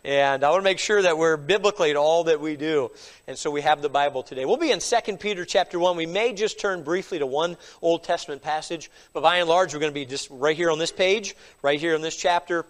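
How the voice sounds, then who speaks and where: Middle-aged pastor, high voice, stuffy with a cold, emphatic sermon delivery. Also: male voice, brisk. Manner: emphatic preaching with frequent "all right?" asides.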